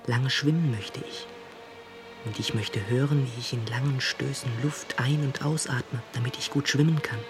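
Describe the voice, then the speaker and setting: An actor playing a tender, brooding confidant at night, speaking softly and pensively in a radio play. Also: male voice, medium pitch, fairly even intonation, over music, close to an everyday voice. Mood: pensive, yearning. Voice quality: soft, half-whispered. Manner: hushed, slow, pensive. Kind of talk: German radio play acting.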